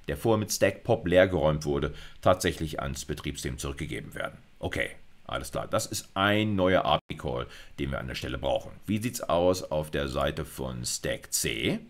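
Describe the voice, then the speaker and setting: A man's voice: very deep voice, expressive, moderate pace. A middle-aged man lecturing at university level in an explanatory screencast, informal and emphatic, with frequent check-in words. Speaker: university computer science lecturer. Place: indoors, recorded screencast.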